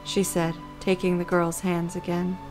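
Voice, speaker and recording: A woman reading scripted story narration for an audio drama in a measured, creaky voice. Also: fairly low pitch, monotone, music in the background.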